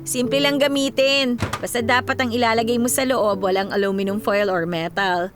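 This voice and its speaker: An actress with a Filipino accent playing a devoted, hardworking young migrant worker and encouraging best friend in a radio drama. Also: female voice, fairly high pitch, fairly even intonation, moderate pace, music in the background, natural voice. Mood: warm, caring. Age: young adult.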